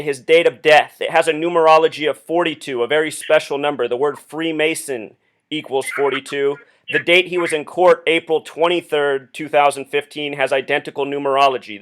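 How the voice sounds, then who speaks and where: A man with a somewhat high-pitched voice talks as a caller laying out his case. He is earnest and insistent, explaining point by point with deliberate phrasing and pointed questions. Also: a bit bright, clean tone. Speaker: caller phoning the police with a theory. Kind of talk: earnest explanatory phone monologue.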